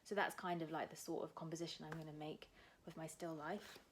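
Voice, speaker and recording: Soft-spoken New woman teaching art. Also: fairly even intonation, fairly quick, background noise. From New Zealand. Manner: casual, many fillers, talks while drawing, often drops to a soft low voice. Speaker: art tutor. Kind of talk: art demonstration tutorial.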